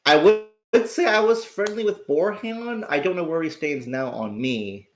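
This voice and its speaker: A man with a raspy, sick voice, chatting casually as host of his livestream, rambling and swearing with lots of restarts. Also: high voice, expressive, normal speed. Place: at home.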